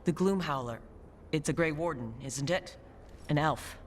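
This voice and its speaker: A woman's voice: sits low, normal speed, lightly acted. Actress as wry female fantasy game hero, composed and direct, faint noise in the background. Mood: concerned, direct. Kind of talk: video game voice acting.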